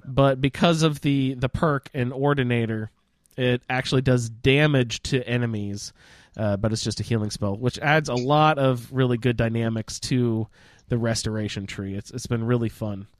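A man with an average-pitched voice talks in a casual roundtable about gaming. He is chatty and enthusiastic, and the sound is dry with no room around it. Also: fairly even intonation, moderate pace, no room sound. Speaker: podcast panelist.

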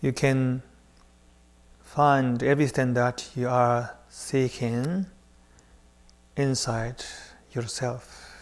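A man leading a guided meditation, speaking in short phrases with long pauses between them. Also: medium pitch, little pitch movement. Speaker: meditation teacher.

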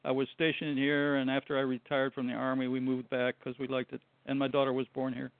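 A man in his sixties from Buffalo, New York, a retired Army translator, giving short, halting answers as a guest on a call-in show. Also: medium pitch, completely flat delivery, no room sound. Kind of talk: call-in interview. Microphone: phone. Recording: tinny phone line.

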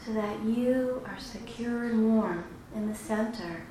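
A woman guiding a meditation in a slow, soothing, instructive way with long pauses. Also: fairly high pitch. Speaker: meditation guide. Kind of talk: guided meditation. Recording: very echoey room, clearly noisy background.

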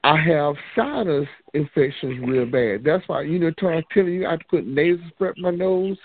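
A man's voice: fairly high pitch, fairly quick. A man, calling in and telling a long rambling story. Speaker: caller. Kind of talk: casual phone chat. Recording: tinny phone line, faint hiss.

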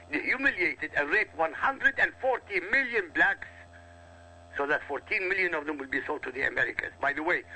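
An Arabic-native man from Iraq holds forth on the radio, his voice bright and sharp with a lively, swooping pitch. He talks in forceful, repetitive bursts. A faint hum sits under the broadcast. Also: poor audio. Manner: forceful, emphatic, repetitive lecturing. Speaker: guest commentator. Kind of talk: heated radio talk.

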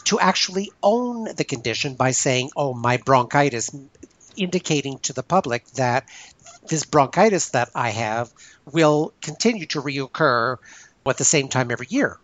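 A man hosting a casual discussion, explaining his ideas in a thoughtful, measured way. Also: medium pitch, animated, fairly bright tone, poor audio. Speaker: podcast host.

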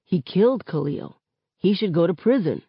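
A woman's voice: on the low side, lively intonation, normal speed, slightly rough, no echo, lo-fi sound. Woman as an earnest, cautious teenage boy. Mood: bewildered. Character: uneasy, reasonable teenage boyfriend, cautious and earnest. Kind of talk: audiobook character acting.

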